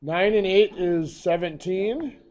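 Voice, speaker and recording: A hoarse, coughing man teaching a math lesson, walking through each step patiently. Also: high-pitched, slow, a little mellow.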